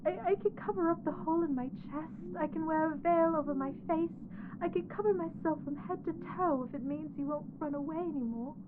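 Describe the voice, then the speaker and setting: A woman voicing a lovestruck, clingy undead corpse bride in an ASMR audio roleplay, soft, earnest and pleading. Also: high-pitched, brisk, narrow, tinny audio, background noise, close to an everyday voice. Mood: apologetic, hurt.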